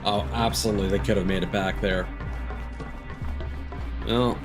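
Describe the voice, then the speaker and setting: A man with a fairly low voice gives casual gameplay commentary as a streamer. He rambles and thinks aloud as he goes, with music playing clearly behind him. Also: fairly even intonation, moderate pace.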